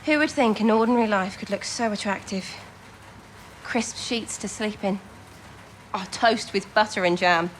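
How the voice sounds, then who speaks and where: A woman's voice: medium pitch, moderate pace, slight background noise, close to an everyday voice, poor audio. A woman playing an earnest, spirited young wartime codebreaker, speaking expressively and well-spoken in a period radio drama. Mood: anxious, weary. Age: young adult.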